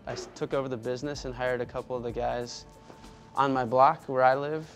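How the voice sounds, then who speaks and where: A man's voice: mid-range voice, fairly even intonation, a little fast, soft background music. Earnest man in a woodshop. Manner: earnest, upbeat. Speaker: small business owner. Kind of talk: casual interview. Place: furniture workshop.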